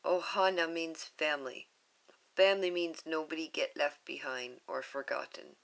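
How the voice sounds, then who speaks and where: A woman's voice: low-pitched, steady tone, normal speed. A woman reading a storybook aloud, voicing a small alien creature speaking simple, earnest words.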